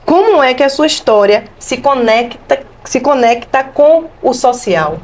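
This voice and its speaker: A woman hosting a community TV show from a studio. She is excitable and effusive, her voice fairly high, and she bubbles into laughter between phrases. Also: unhurried. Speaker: TV show host. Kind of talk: community TV interview.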